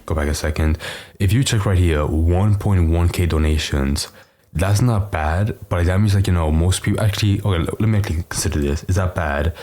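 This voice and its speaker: A man's voice: low voice, brisk, a little mellow. A man co-hosting a stream, rambling with lots of fillers and swearing as he reacts to clips. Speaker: stream co-host. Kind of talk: casual reaction commentary.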